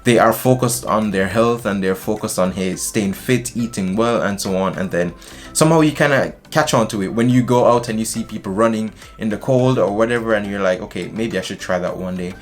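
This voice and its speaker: A young man from Jamaica chatting casually to camera in a small indoor room, animated and gesturing as he talks. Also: fairly low pitch, fairly quick, faint music behind. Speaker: vlogger.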